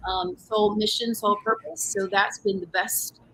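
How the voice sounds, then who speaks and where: A woman on a video call, rambling through her answers with plenty of ums and restarts, her voice set at a middling pitch. A faint hiss of background noise sits under the line. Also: animated, unhurried, vocal fry, fairly bright tone, poor audio. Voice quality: voice says it has grown deeper. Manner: rambling with many fillers and restarts. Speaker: panel guest, energy healer. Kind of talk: casual panel chat.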